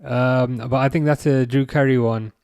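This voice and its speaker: A man's voice: medium pitch, normal speed, creaky, clean tone, dry recording, clean studio sound. Laid-back man reading out stream chat. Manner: relaxed, hesitant, many fillers and restarts; relaxing voice. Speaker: livestream host reading chat. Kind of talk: casual live-chat watch-along talk.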